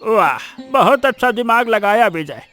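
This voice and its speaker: A welcoming older village father acted out by a man in a cartoon story. His high-pitched voice is hearty and encouraging, set over background music. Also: animated, measured pace, pushed and bright, exaggerated voice. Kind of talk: Hindi cartoon story dialogue.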